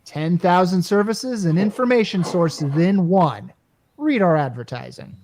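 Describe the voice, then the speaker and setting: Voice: mid-range voice, animated, unhurried, a little mellow, a little hiss or hum. A performer in a radio play acting a brash, exasperated salesman who talks in slangy, wisecracking sales patter. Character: brash, exasperated salesman named Caldwell, wisecracking working-class type.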